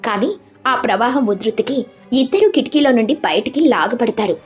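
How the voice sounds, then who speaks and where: A woman reading a scripted children's story as storyteller, with dramatic, expressive delivery. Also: fairly high pitch, normal speed. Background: faint background music.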